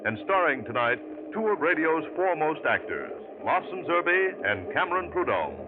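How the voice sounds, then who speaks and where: A man announcing a 1940s radio drama in a formal, booming delivery. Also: big pitch swings, normal speed. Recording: tinny noisy old radio broadcast.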